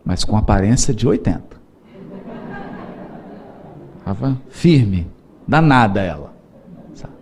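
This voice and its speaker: A man's voice: lowish voice, very animated, takes it slowly, long pauses, darkish tone, slight background noise. A middle-aged man with a Brazilian Portuguese accent giving a seminar lecture, telling stories playfully, laughing often and acting out the voices he quotes. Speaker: spiritist lecturer.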